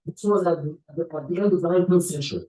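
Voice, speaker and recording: A man with a fairly high voice lectures in a halting way, stumbling over repeated words and fillers. He sounds far from the microphone in an echoey room, like an old archive clip. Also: mellow. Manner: hesitant, many fillers and repeats. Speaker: scholar being interviewed. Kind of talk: lecture-style explanation.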